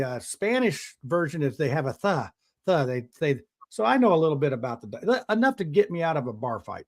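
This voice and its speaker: A man hosting a casual panel talk show, rambling with many restarts and frequent chuckles. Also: fairly high pitch. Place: outdoors in the sun.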